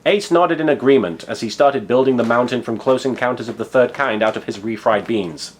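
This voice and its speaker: A man's voice: medium pitch, a little fast, a little hiss or hum. A man narrating a comic story as host, articulate and theatrical, with casual asides. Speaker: host and fan fiction reader.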